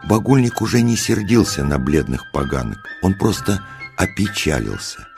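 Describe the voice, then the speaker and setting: A man narrating a scripted children's tale in an expressive, deliberate storyteller's delivery. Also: low-pitched, creaky, full character voice. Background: music.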